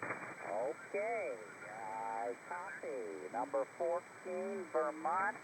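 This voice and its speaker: A man working a contest over shortwave radio, deliberately repeating and spelling things out in procedural exchanges. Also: a little high, expressive. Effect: heard over shortwave radio. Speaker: amateur radio contest operator. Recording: very noisy shortwave radio reception.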